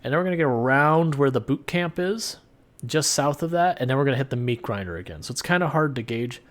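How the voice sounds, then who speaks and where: A man streaming a game live, talking through his play in a calm, soothing, laid-back way. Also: mid-range voice, fast talker. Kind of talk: casual live stream gameplay commentary.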